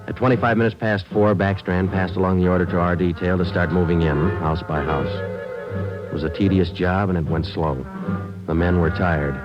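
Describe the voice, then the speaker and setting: Low, deadpan American radio detective, played by a man. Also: lightly acted. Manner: clipped, deadpan, matter-of-fact. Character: stoic police detective sergeant, narrating his cases. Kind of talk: 1950s radio crime drama acting. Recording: muffled old radio broadcast, dramatic music.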